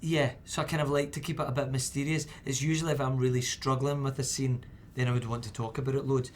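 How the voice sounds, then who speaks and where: A young man, chatting casually as an actor at a roundtable interview, relaxed and full of fillers. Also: medium pitch, quick pace, a little hiss or hum. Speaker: actor guest.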